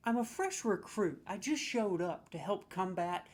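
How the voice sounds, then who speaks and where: A man's voice: high-pitched, animated, unhurried. A man in a comedic role, scoring lines with sarcastic, mock-serious, playfully exaggerated commentary.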